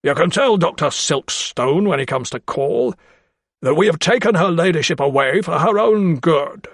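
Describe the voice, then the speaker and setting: A man's voice: a little high, lively intonation, moderate pace, a bit bright, dry recording, studio quality, pitched above the performer's own voice. A man reading an audiobook, voicing a pompous, scheming older lawyer who speaks with patronising menace. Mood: cold. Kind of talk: audiobook character voice.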